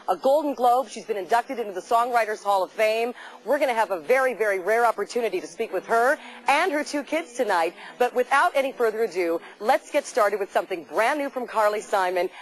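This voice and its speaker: A woman's voice: mid-range voice, low-quality recording. A woman hosting a live shopping broadcast from a television studio on a lapel mic, upbeat and promotional as she introduces guests and products. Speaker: TV shopping channel host.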